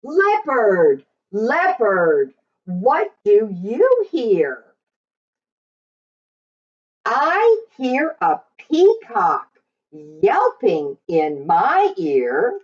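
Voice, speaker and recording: A woman reading a picture book aloud to young children in an expressive, sing-song way. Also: a little high, drawn-out words. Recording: slightly dull, lo-fi recording.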